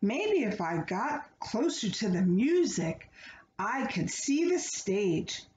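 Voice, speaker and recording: A woman's voice: medium pitch, big pitch swings, slow, darkish tone. A woman voicing a curious, earnest little teddy bear in a storybook, musing simply and wistfully. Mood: wistful, pondering. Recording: roomy, dull home recording with background noise.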